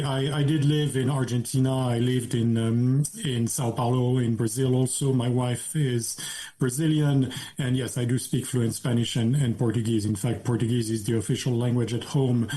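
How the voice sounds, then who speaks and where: A man's voice: medium pitch, mellow. A man, a guest author, gives a long, detailed story-driven answer in a podcast interview.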